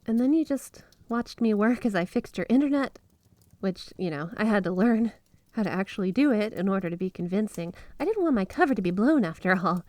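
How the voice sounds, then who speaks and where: A woman's voice: medium pitch, expressive, darkish tone, no echo, close to an everyday voice. Woman acting a gushing, obsessively devoted yandere wife, close-miked. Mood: smug, playful. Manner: gushing, breathy giggles, drawn-out emphasis on words. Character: flirty, obsessively devoted yandere wife with magic snow powers. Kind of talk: intimate ASMR roleplay monologue to the listener.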